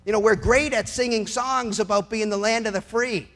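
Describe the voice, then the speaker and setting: A man's voice: high-pitched, fairly even intonation, normal speed, a bit bright. A middle-aged man, a lawyer, giving an impassioned political speech in an emphatic, sarcastic way, with rhetorical questions and repeated phrases.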